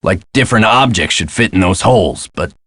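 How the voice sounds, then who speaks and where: Deep-voiced gruff castle caretaker. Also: male voice, animated, fairly quick, fairly bright tone, dry recording, full character voice. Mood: helpful, gruff. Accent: American. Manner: folksy, clipped, gruff. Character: gruff world-weary male castle caretaker, guarded about his past. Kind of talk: video game voice acting.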